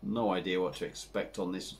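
Deep-voiced english guy reviewing a beer to camera at his home bar, chatty and rambling, slight background hum. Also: brisk, vocal fry. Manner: chatty, rambling, relaxed, with frequent fillers. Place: home bar room with dartboard and signs.

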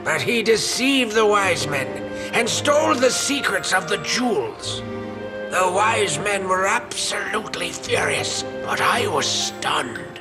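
A man's voice: high-pitched, sing-song, slow pace, over music, exaggerated voice. A man voicing an eccentric, theatrical old sorcerer in a fantasy video game. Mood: bemused.

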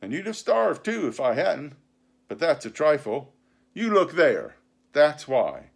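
A man's voice: a little high, huge pitch swings, a little slow, gravelly, breathy edge, voice pushed up, heavily acted. A man voicing a wily, blustering old pirate ringleader in a storybook, scornful and full of rustic seafaring talk. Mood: scornful, defiant.

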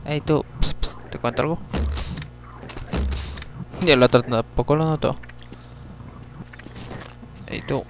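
A young man giving chatty gameplay commentary, talking to himself, swearing at the game and breaking into sudden yells. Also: medium pitch, steady tone, slow pace, long pauses, noisy background. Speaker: let's play gamer.

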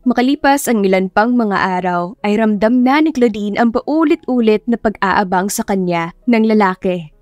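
A woman's voice: medium pitch, moderate pace, a little mellow, no echo. A woman reading scripted story narration as an audiobook narrator. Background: music.

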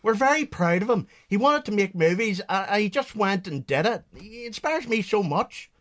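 Man playing a high-voiced elderly proud father. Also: animated, fairly bright tone. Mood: tender, proud. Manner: halting, repeats words. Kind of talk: radio drama acting.

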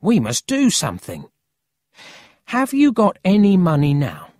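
A cheeky, brash city boy from a storybook, played by an adult reader. His voice is pitched high and swoops about with eager, teasing energy, recorded dry and close. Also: wildly swooping pitch, measured pace, darkish tone, dry recording. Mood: eager, helpful. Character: cheeky, excitable, friendly city boy with a horn.

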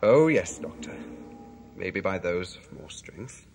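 Man acting a low-voiced scheming villain. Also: big pitch swings, takes it slowly, vocal fry, darkish tone, soft background music, heavily acted. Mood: weary, dying despair. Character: scheming villain, faking a weak, dying addict's despair. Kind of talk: audio drama acting.

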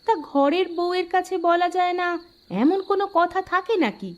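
A woman's voice: extremely high pitch, normal speed. A woman voicing a caring, inquisitive village wife in a fairy-tale cartoon, with a homely, questioning manner. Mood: concerned, coaxing.

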